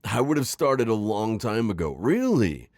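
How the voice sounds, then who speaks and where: A man performs a middle-aged retired magical villain in an intimate ASMR roleplay. His low voice swings dramatically up and down as he coaxes and teases. The delivery is theatrical and sly, recorded very dry. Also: sing-song, normal speed, a little mellow, no echo. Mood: smooth, feigned sincerity. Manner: theatrical, teasing, manipulative coaxing with sighs and chuckles. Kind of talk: scripted ASMR roleplay acting.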